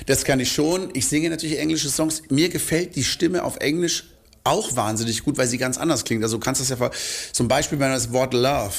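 A male singer answering interview questions in a relaxed, rambling way, often correcting himself mid-sentence. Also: medium pitch, brisk, creaky. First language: German. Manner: rambling, self-correcting, chatty.

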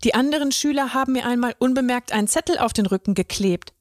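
Storybook kid: timid young straw boy, voiced by a woman, high and earnest, dry studio sound. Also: fast talker, a little mellow, dry recording, natural voice. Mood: earnest, shy. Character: shy young boy drinking straw, colorful. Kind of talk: children's story reading.

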